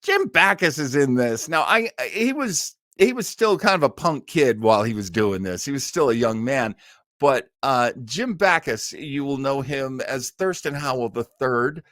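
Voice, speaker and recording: A man's voice: mid-range voice, expressive, unhurried, a bit bright, no echo. Male host, mature, mid-range voice, chatty fan energy, informal live delivery, very dry sound. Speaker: host of an online listening party. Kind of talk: casual live chat introducing a radio cast.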